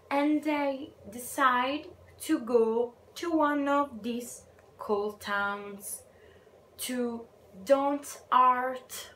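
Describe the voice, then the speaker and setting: A woman's voice: a little high, fairly bright tone. A young Italian woman with an Italian accent, shyly reviewing books in a hesitant vlog and trailing off into whispers. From Italy. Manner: slow, hesitant, shy, drops to a whisper at times. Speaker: book vlogger. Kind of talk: casual book review vlog. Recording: echoey room with faint ambient noise.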